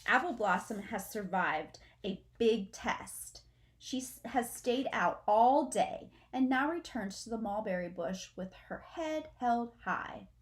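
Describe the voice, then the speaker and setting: A woman's voice: on the high side, lively intonation, takes it slowly. A middle-aged woman reading a children's story aloud expressively, like a teacher, in a room at home. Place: small furnished room at home, indoors.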